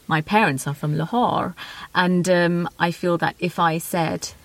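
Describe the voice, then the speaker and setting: Woman novelist, low creaky voice, thinking out loud, earnest. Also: steady tone, a little slow, no room sound. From London, England. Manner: thoughtful, earnest, with ums. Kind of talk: interview answers.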